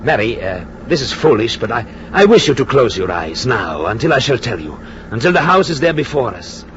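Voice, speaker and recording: An actor playing an earnest husband in a 1940s radio drama, speaking formally and deliberately. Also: male voice, mid-range voice, very animated, a little hiss or hum, close to an everyday voice. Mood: tender, expectant. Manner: solemn, deliberate, formal phrasing. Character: earnest Austrian husband returning to his ruined hometown. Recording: muffled old radio broadcast, music behind.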